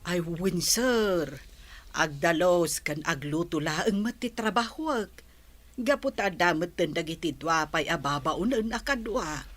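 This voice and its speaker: A woman's voice: lowish voice, expressive, measured pace. A woman playing a hardworking, respectful older housemaid and grandmother in an audio drama, speaking deferentially. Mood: earnest, respectful.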